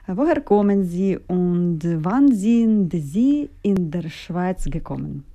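A woman presents a live radio show from a studio. Her voice is dark and mellow. She greets listeners and her guest in a friendly, formal way. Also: lowish voice, animated, takes it slowly. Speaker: radio host.

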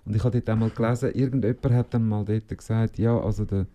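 A man's voice: fairly low pitch, fairly even intonation, fast, mellow. A man hosting a radio interview, asking long chatty questions. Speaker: radio host.

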